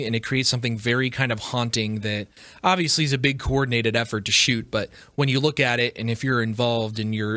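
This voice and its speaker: A man's voice: medium pitch, bright, forceful tone, no echo. A man, perhaps middle-aged, giving a casual, opinionated podcast monologue as host, stressing his points emphatically. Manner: emphatic, repeats phrases for stress.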